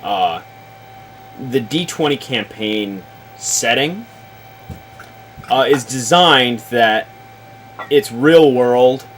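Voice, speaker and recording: Slow, deliberate man giving advice. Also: mid-range voice, expressive, fairly bright tone, a little hiss or hum, low-quality recording. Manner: deliberate, halting, explanatory, casually sweary. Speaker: show host, experienced game master advising. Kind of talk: casual advisory chat about tabletop games.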